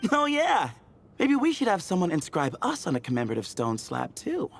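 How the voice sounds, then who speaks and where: A man voicing an easygoing, teasing young temple scholar in a video game, explaining lore in a clear, playful way. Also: sits high, wildly swooping pitch, moderate pace, slight background noise, exaggerated voice. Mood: teasing, upbeat. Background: soft game music.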